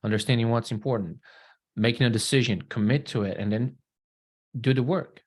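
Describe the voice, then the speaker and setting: A man's voice: medium pitch, fairly quick, a little mellow, slightly rough. A man taking part in a workshop, sharing his thoughts in a reflective way and laying out steps one by one. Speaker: workshop participant. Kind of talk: reflective personal share.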